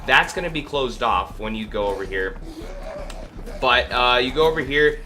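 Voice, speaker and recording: A man's voice: mid-range voice, expressive, normal speed, sharp tone. Sniffly sick man rambling through a walkthrough. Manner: rambling, hesitant, full of fillers. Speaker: gaming video host. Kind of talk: casual gameplay walkthrough. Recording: clear background noise.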